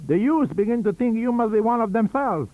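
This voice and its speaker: A man voicing a cunning, wheezy old foreign scientist-magician in an audiobook, with a theatrical, halting and emphatic delivery. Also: very high-pitched, lively intonation, no echo, pitched above the performer's own voice. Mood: mystical, instructing. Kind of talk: audiobook character reading. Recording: dull lo-fi audio cassette.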